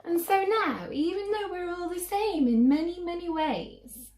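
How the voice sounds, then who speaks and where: A high-voiced woman reads a children's picture book aloud. Her intonation swings widely and she takes her time, stretching words for emphasis. The sound is roomy and echoing. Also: animated, a little mellow. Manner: theatrical, drawn-out emphasis for young listeners. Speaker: children's story reader.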